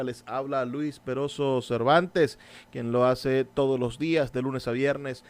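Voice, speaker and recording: Radio host guy, formal announcer voice, mid pitch. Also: darkish tone. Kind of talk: radio show hosting and interviewing.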